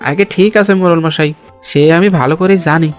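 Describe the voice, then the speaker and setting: Man doing a high-voiced sneaky fawning henchman. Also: fast talker, faint music behind, close to an everyday voice. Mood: gleeful, scheming. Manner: obsequious, excitable. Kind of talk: Bengali cartoon voice acting.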